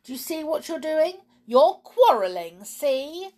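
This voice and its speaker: A woman's voice: on the low side, lively intonation, a little slow. A woman voicing a sneering, accusing male goblin who scolds, in a storybook reading.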